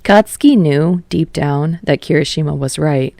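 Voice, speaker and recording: A woman narrates a story in a low voice. It is an audiobook read, recorded close and dry with no room sound. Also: moderate pace, creaky, warm tone, dry recording. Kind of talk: scripted fiction narration.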